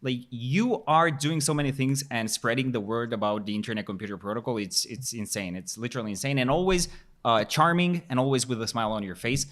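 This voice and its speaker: A man's voice: mid-range voice, fairly quick, a bit bright. A man hosting a podcast, introducing guests and asking questions in a casual, effusive way, often repeating words. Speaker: podcast host.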